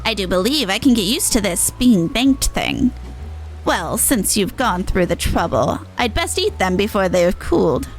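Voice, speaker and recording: A woman's voice: medium pitch, very animated, unhurried, faint music behind, low-quality recording. A woman voicing a proud, theatrical male clown illusionist in a video game, speaking with pompous courtesy. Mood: pleased. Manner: pompous, formal, showmanlike.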